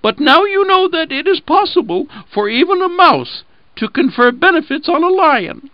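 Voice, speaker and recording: A tiny male mouse from a storybook fable, squeaking high and pleading earnestly, as a man puts him on. The voice stays dry and a little dull. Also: big pitch swings, normal speed, no room sound.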